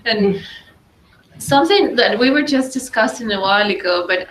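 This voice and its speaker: A woman's voice: fairly high pitch, animated, normal speed, fairly bright tone. A woman moderating a discussion panel, speaking in a polite, hesitant way. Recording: echoey room.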